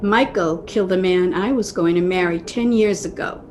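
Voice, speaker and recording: A woman's voice: lowish voice, moderate pace, darkish tone, close to an everyday voice. Woman acting grave, vengeful captive, noisy recording. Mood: bitter. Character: vengeful long-imprisoned sister of a murderer. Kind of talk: radio mystery acting. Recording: noisy home video-call recording.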